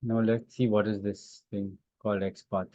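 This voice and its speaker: A man with a fairly low voice leads an informal live software lesson. He talks haltingly, thinking aloud while he demonstrates on screen. The call audio is dull and lo-fi. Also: level tone, no room sound. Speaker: instructor. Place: online class call with screen sharing.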